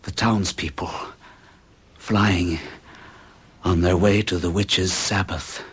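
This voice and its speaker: An actor playing a haunted, frightened man who tells his story with halting, dramatic intensity in an old radio horror drama. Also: sits low, fairly even intonation, a bit bright, slightly rough, full character voice. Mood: haunted, hushed.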